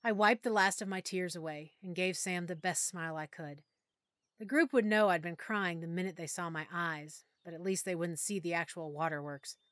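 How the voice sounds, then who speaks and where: A woman narrating an audiobook in the first person, quietly and with emotion. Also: on the low side, moderate pace, dry recording, studio quality.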